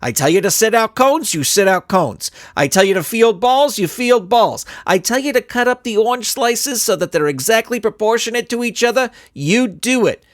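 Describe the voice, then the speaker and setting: A man's voice: sits high, expressive, normal speed, hardly any pauses, dry recording, studio quality, pitched above the performer's own voice. A man voicing an exasperated, overbearing young soccer coach in a storybook, bossy and emphatic. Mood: exasperated, scolding.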